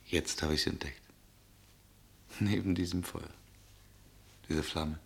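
A man's voice: a bass voice, fairly even intonation, takes it slowly, vocal fry, breathy edge, heavily acted. An actor playing a weary, romantic male traveller in a poetic radio play, earnest and lyrical in his delivery. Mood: tender, yearning.

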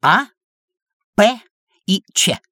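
Lively, sly learned tomcat. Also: female voice, mid-range voice, very slow, no echo, studio quality, full character voice. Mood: kindly, animated. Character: sly, kindly learned male cat, mentor to children. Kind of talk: children's educational audio play.

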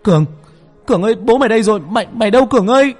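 A man's voice: high voice, lively intonation, very fast, in a large hall, soft background music, voice pushed up. A man voicing a frightened, loyal young man lost in a forest, with a stammering, frantic delivery in a horror story. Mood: frantic shouting.

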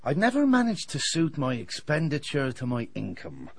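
An actor playing a flippant, sardonic dentist with a roguish streak in a radio drama. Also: male voice, a little high, wildly swooping pitch, moderate pace, vocal fry, husky, no room sound, exaggerated voice. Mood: frank, rueful. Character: wry, roguish, hard-drinking male dentist.

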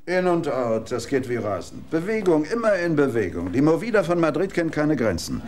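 Einen aufgedrehten, aufdringlichen Regieassistenten. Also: male voice, a little high, normal speed. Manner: pushy, excitable, name-dropping. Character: eager talkative male assistant director, ingratiating. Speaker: would-be assistant director.

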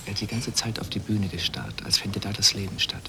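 A man playing a young student in a radio play, calm and probing in his talk. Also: lowish voice, a little fast. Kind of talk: German radio play acting. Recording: clear background ambience noise.

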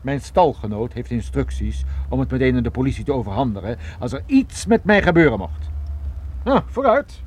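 A man acting a cool, sardonic Irish private detective in a radio drama, needling and unhurried. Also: medium pitch, very animated, darkish tone, slightly rough. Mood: defiant.

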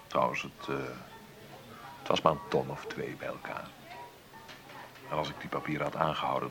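An actor in a radio play as a wealthy, bitter middle-aged businessman, gravelly, sardonic and condescending in a deliberate way. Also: a bass voice, bright, forceful tone, full character voice. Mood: cool, sardonic. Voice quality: gravelly, creaky.

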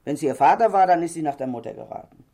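Gravelly, lively, gossipy landlady. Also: deep voice, rapid-fire. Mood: confiding. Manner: chatty, indignant, insinuating. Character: gossipy, self-righteous middle-aged landlady. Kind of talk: German crime radio play acting.

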